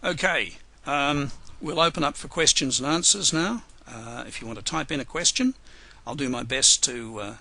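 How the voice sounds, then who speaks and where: Hoarse, raspy male trainer. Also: medium pitch, bright, forceful tone. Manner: unhurried, many fillers and restarts. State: hoarse, losing his voice. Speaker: software trainer. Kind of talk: live webinar tutorial.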